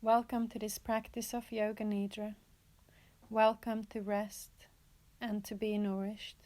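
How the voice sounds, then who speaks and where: A woman teaching yoga nidra, guiding a relaxation in a gentle, soothing manner with pauses and repeated, inviting phrases. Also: medium pitch, little pitch movement. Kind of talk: guided relaxation meditation.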